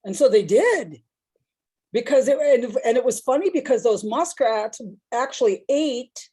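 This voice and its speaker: A woman's voice: a little high, lively intonation, a little slow, mellow, slightly rough. A woman with a big voice, telling personal stories on a discussion panel in a reflective, rambling way.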